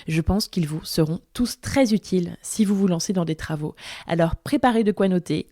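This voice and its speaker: Podcast host, woman, low-ish mellow voice, dry close sound, upbeat scripted read. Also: no echo.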